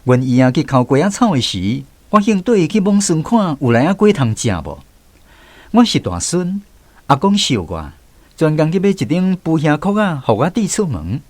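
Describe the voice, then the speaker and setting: A man narrating a radio drama in a measured storytelling way, recalling childhood memories. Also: a little high, sing-song, no room sound.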